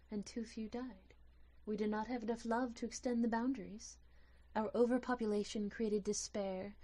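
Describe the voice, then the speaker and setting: A woman playing a delicate glass sylph in an audio drama, speaking in a formal, measured, matter-of-fact way. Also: on the high side, mellow, close to an everyday voice. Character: delicate glowing female glass sylph, calm and earnest.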